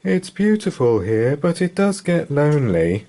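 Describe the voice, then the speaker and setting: A man voicing a gentle, lonely, friendly storybook dragon with a mild, unhurried manner. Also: fairly high pitch, very animated, voice pushed up. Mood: wistful.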